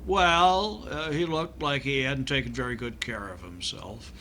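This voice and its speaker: A man's voice: medium pitch, a little slow, bright, forceful tone. A man playing a fussy, naive, folksy American doctor with a hesitant manner in an old-time radio comedy, on a noisy recording. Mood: earnest recounting.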